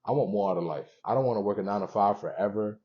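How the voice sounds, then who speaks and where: Young man, deep low voice, earnest show host, conversational phrasing, motivational talk, slightly muffled lo-fi sound. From born in Haiti, moved around the US. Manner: earnest, conversational, pauses between short phrases.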